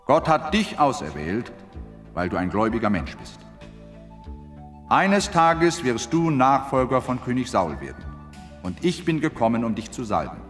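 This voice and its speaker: A solemn biblical prophet, sure of God's will. His medium-pitched voice swings expressively in declarations. It rings in a long hall reverb, as in an audio drama. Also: wildly swooping pitch, moderate pace, soft background music. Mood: solemn, proclaiming. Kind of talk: Bible audio drama acting.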